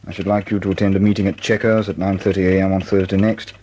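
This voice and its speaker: An actor playing a formal reader of official wartime memoranda in a radio drama, with clipped dictation. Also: male voice, sits low, flat delivery, fast, dark tone, natural voice. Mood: official, brisk. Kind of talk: scripted radio drama reading. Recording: noisy with typewriter-like clacking.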